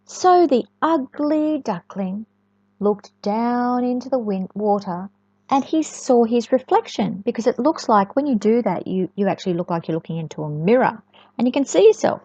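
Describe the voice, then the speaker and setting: A woman storyteller reads to small children in a fairly high, very expressive voice. She adds chatty asides and repeats words for emphasis. The recording is close and dry, slightly dull. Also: moderate pace, no room sound. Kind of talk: picture-book storytelling to young children.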